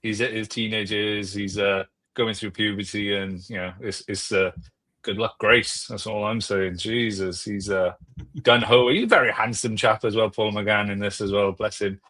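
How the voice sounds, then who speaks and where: A man's voice: fairly low pitch, steady tone, moderate pace, bright, forceful tone, no room sound. A man chatting casually as a podcast panellist, blunt and jokey in his way of talking. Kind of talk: casual chat.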